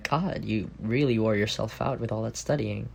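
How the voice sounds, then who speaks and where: A man's voice: fairly low pitch, normal speed, vocal fry. A man playing an affectionate, teasing young roommate in an intimate ASMR roleplay, speaking in a playful, tender way. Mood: tender, drowsy.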